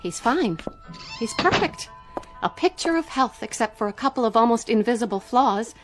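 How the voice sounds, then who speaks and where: Troubled officer's wife, played by a woman, slightly high voice, sci-fi radio drama with music behind. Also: animated, moderate pace, natural voice. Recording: music bed underneath.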